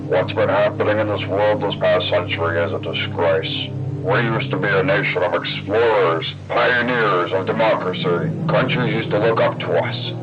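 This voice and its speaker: An actor playing an indignant 1960s presidential candidate giving a stern, righteous campaign address full of scorn. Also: male voice, low-pitched, moderate pace, close to an everyday voice. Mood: indignant, scornful. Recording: dull old broadcast recording, loud music.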